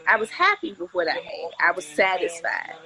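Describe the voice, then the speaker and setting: A woman's voice: lowish voice, very animated, normal speed, pushed and bright, rough recording. A woman in her forties talking as a guest in a candid, joking casual chat, blunt and full of earthy slang. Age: 40s. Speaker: interview guest, comedian.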